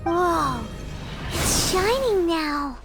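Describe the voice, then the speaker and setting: A woman voicing a tiny, excitable, childlike fairy companion in a video game, chirpy and expressive. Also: very high voice, drawn-out words, over music, full character voice. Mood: amazed. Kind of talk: scripted video game voice acting.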